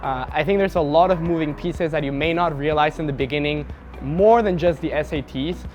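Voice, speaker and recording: A young man from Mexico City, a university student giving a relaxed testimonial, talking casually with a lot of "uh" fillers. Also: on the high side, brisk, soft background music. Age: young adult. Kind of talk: testimonial to camera.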